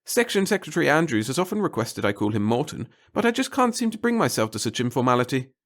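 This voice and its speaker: A man's voice: medium pitch, very animated, fast, pitched above the performer's own voice, close to an everyday voice. A man voicing a servile, fussy little clerk who babbles in flowery, pompous phrases, as a character in a sci-fi audiobook. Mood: flustered, eager.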